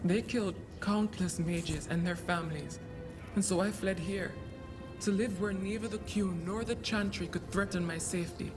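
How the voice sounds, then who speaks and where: A woman's voice: mid-range voice, fairly even intonation, normal speed, lightly acted. An actress playing a grave, resolute woman mage who fled persecution, in dramatic video game dialogue. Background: game music.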